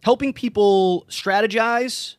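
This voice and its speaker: A man's voice: high voice, takes it slowly, smooth, no echo. A man hosting a podcast, asking a guest long, eager questions. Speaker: podcast host. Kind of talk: podcast interview.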